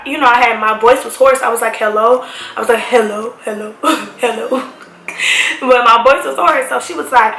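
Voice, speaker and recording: A woman's voice: fairly high pitch, normal speed, a little hiss or hum. A young woman telling a story to camera in a casual, slangy, emphatic way, talking straight to her viewers. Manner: animated, slangy, emphatic, addresses viewers as y'all. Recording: echoey room with faint music.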